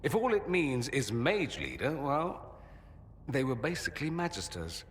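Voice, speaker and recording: A man's voice: medium pitch, very animated, measured pace. An actor playing a cultured, sardonic male mage in a video game, speaking in a wry, articulate, musing way. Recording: echoey hall, faint background noise.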